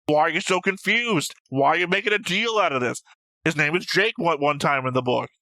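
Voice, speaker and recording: Casting: male co-host, forceful bright tone, argumentative and emphatic, casual swearing, close dry sound. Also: fairly high pitch, brisk, no room sound. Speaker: co-host of an anime reaction show. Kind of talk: casual opinionated discussion.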